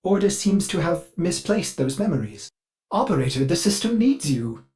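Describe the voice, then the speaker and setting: An eager-to-please artificial mind that runs a spaceship in a video game. The delivery is very animated in pitch, servile and theatrical. It halts now and then amid elaborate courtesy. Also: male voice. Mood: eager, polite. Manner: overly polite, theatrical, servile, halting. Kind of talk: video game character dialogue.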